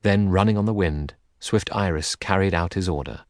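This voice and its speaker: A man's voice: low voice, moderate pace, no echo, studio quality. A man narrating epic verse as a dramatic literary audiobook.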